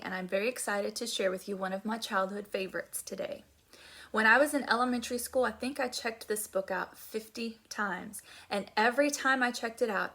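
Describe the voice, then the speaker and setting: A woman teacher doing a children's read-aloud of rhyming poems in an expressive storytelling manner. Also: medium pitch.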